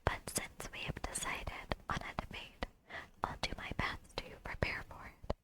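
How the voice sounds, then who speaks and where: A woman reads video game dialogue aloud in a soft, deliberate, breathy whisper, close to the microphone in ASMR style.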